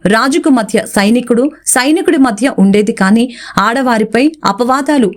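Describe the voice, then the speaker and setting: A woman's voice: fairly high pitch, darkish tone. A woman reading a scripted celebrity news report as a narrator.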